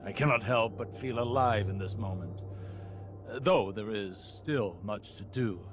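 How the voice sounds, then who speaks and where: A man's voice: on the low side, measured pace, over music, lightly acted. A man playing a stoic, weary older scholar-warrior in a video game, resolute and grave in his delivery. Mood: quietly exhilarated. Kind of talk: dramatic game dialogue.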